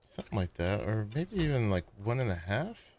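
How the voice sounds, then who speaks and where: Sleepy low-voiced man narrating gameplay. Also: brisk. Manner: relaxed, rambling, talks to viewers while building. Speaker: gaming streamer. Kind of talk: casual gameplay commentary.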